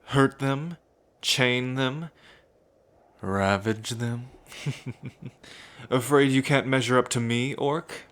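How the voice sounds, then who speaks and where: A man plays an arrogant lord set on becoming emperor in a fantasy ASMR roleplay. He speaks at a slow, deliberate pace in a mid-pitched voice. His delivery is theatrical, sneering and full of taunts. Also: fairly bright tone. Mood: sneering, gloating. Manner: haughty, taunting.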